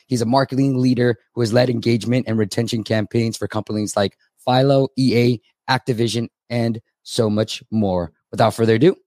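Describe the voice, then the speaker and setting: Male podcast host, raspy voice, mid pitch, upbeat and chatty, dry close recording. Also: darkish tone, no echo. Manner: upbeat, chatty, quick asides. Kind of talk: casual interview.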